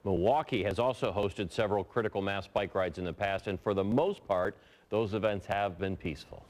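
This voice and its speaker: A man's voice: on the low side, brisk, a little mellow. A man anchoring a television newscast, reading stories from a script. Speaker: news anchor.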